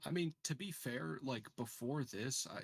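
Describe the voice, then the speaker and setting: A man chatting casually as a game player, halting and often repeating himself as he thinks aloud. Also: medium pitch, lively intonation, creaky, husky, dry recording, clean studio sound. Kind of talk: casual chat during a game.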